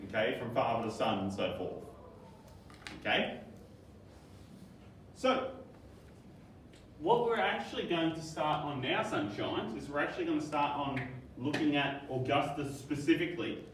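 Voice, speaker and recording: Aussie man teaching, slightly high voice, upbeat and jokey, echoey classroom from across the room. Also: animated, unhurried, pauses often, fairly bright tone, far from the mic, slight background noise. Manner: upbeat, jokey, quizzing students. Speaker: history teacher.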